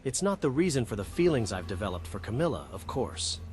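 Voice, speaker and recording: A man playing an earnest wood elf companion in a fantasy game, speaking in a measured, reflective way. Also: mid-range voice, very animated, a little mellow, slight background noise, lightly acted. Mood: earnest, wistful. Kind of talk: scripted game companion dialogue. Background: game music behind the voice.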